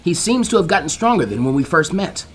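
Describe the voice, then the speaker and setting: A man's voice: fairly high pitch, sing-song, fast talker, reverberant, soft background music. A man voicing an intensely earnest, stiffly formal teenage martial artist boy in a story reading. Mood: disappointed.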